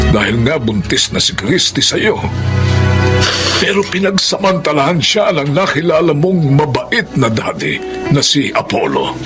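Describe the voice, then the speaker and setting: A man playing an elderly, fond but firm Filipino grandfather in a Tagalog radio drama, speaking haltingly and emphatically with small interjections. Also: on the high side.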